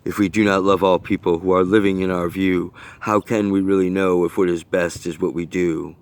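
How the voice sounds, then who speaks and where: A man reading his own rhyming poem aloud in an earnest, deliberate and rhythmic delivery. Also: sits low, level tone, smooth, no echo. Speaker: poet reading own work. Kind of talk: recited rhyming poetry reading.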